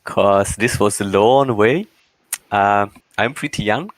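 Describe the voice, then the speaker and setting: A man's voice: lowish voice, slow pace, dry recording. A man in his twenties from Russia, speaking English as an interview guest, hesitant and searching for words. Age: 20s. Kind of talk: casual interview answers.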